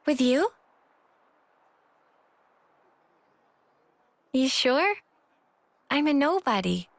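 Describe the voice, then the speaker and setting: A woman's voice: high voice, big pitch swings, a little slow, vocal fry, no room sound, full character voice. A woman voicing a shy, self-effacing young female soldier in a video game, hesitant and apologetic. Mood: apologetic, modest. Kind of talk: video game cutscene voice acting. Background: background music.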